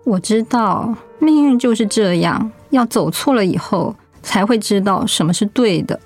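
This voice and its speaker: A woman acting a hurt but resolute mother in a radio drama, speaking gently, reflectively and with feeling. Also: medium pitch, lively intonation, moderate pace. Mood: resigned, reflective. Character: hurt, resolute mother leaving her marriage. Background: background music.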